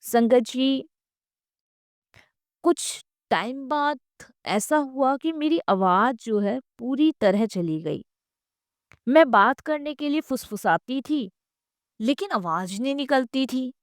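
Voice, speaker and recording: Unhurried woman narrating devotional testimony. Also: high-pitched, expressive, no echo, clean studio sound.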